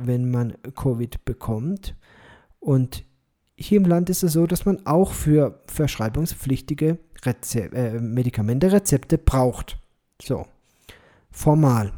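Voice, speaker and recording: A man's voice: a little high, warm tone. A man speaking alone on his podcast as a missionary, explaining his views in a reflective way with frequent hesitations and restarts. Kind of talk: solo podcast commentary.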